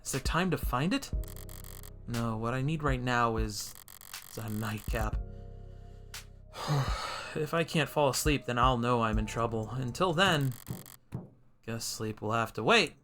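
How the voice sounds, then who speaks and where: A man's voice: medium pitch, lively intonation, a little slow, long pauses, a bit bright, soft background music. A man voicing a wry, brooding defense attorney in a world-weary noir game monologue. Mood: pensive, calculating. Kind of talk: noir visual novel narration.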